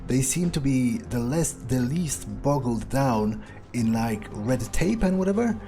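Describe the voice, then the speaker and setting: An unhurried, mellow voice muses in character as a cynical, scheming vampire during a game playthrough. The delivery is unscripted and halting, with casual profanity, over faint music. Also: mid-range voice, expressive. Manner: hesitant, musing, casually profane. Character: scheming, amoral vampire musing on own plans. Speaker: player narrating a roleplay game playthrough in character. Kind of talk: unscripted in-character monologue, thinking aloud.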